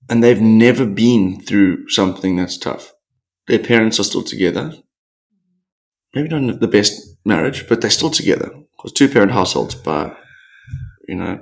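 A man from Africa, a podcast guest who talks candidly and tells rambling, animated stories. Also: on the low side, gravelly. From South Africa. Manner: animated, rambling storyteller with acted-out quotes. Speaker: podcast guest, professional surfer. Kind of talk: candid interview conversation.

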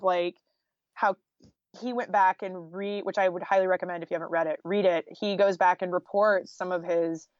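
A woman's voice: lowish voice, dry recording, dull audio. A woman talking casually and informally as an interview guest, peppering her speech with "like" and "you know". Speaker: guest author and journalist.

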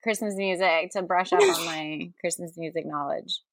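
A woman co-hosting a podcast in casual comedic chat, excitable and giggly in the way she talks. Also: lowish voice, normal speed, creaky, dry recording. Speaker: podcast host.

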